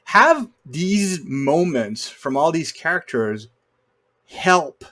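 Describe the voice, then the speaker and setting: A man's voice: fairly high pitch, very animated, takes it slowly, bright, forceful tone. A man giving a casual, opinionated film critique, arguing his points emphatically. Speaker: film podcast co-host or guest.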